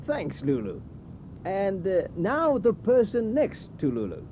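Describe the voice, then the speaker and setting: Slow, lively South African guide; dull tape. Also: male voice, high-pitched, heavily acted. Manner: relaxed, welcoming, with fillers. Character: friendly male expedition guide, adult. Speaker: tour guide. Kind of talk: scripted language-course dialogue. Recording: dull cassette tape with hiss.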